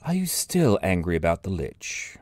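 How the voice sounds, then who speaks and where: An actor playing a calm, probing interrogator who speaks in a slow, measured way. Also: low-pitched, no room sound.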